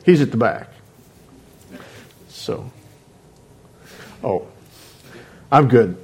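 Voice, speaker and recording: A man leading a Bible class into a microphone, talking hesitantly with many restarts and fillers and clearing his throat often. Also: medium pitch, lively intonation, extremely slow, slight background noise. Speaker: Bible teacher. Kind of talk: informal Bible class talk. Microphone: microphone on a sound system.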